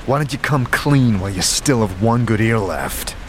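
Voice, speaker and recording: A man voicing a scarred male hero who talks calmly and dryly, probing with quiet questions. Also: on the low side, moderate pace, a little hiss or hum, close to an everyday voice. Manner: calm, dry, probing.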